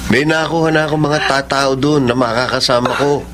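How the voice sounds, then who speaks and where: A man's voice: mid-range voice, steady tone, a little fast, a bit bright, a little hiss or hum, lightly acted. A man playing a humble, grateful father in a radio drama. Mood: content. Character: humble poor father, later a shop owner.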